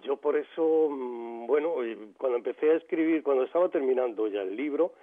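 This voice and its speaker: A man's voice: mid-range voice, fairly quick. A man in his sixties from Granada, a poet calling in to a radio interview, speaking reflectively with many fillers and restarts. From Granada, Spain. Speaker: poet, phone guest. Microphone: telephone line. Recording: phone-line radio call.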